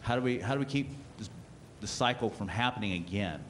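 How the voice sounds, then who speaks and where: A man's voice: lowish voice, lively intonation, brisk, a little hiss or hum. A man making a blunt, frustrated public comment at a community meeting.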